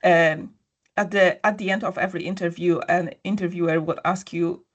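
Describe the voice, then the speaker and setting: A woman's voice: lowish voice, fairly even intonation, normal speed, dull audio. A woman guest, telling a personal story in a conversational interview with many fillers. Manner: storytelling, frequent fillers and restarts.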